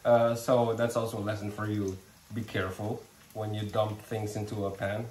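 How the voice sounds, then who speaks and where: A man's voice: fairly low pitch, level tone, moderate pace, a little mellow, roomy sound, recorded from a distance, a little hiss or hum, low-quality recording. A man with a Dutch accent, Dutch his first language, hosting a home cooking demonstration in a kitchen, friendly and hesitant with many fillers and restarts. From Suriname. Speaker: cooking channel host.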